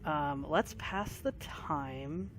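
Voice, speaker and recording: A tired gaming video creator, short on sleep and fighting allergies, giving a casual rambling commentary full of pauses. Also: mid-range voice, noisy background. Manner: rambling, many pauses and fillers. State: voice affected by allergies.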